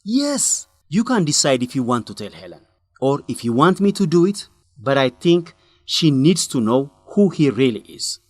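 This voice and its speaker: An actor playing an earnest male journalist in a radio drama, speaking expressively in simple, deliberate sentences. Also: mid-range voice, very animated, a little mellow, dry recording. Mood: concerned. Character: earnest young male journalist breaking bad news to a friend. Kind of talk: English-learning radio drama acting.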